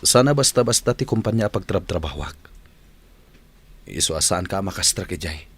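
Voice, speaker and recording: A Filipino family man in a radio drama. His voice is low and husky, with lively swings of pitch as he talks to his relatives. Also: normal speed, exaggerated voice. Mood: apologetic. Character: henpecked, apologetic married engineer brother and uncle.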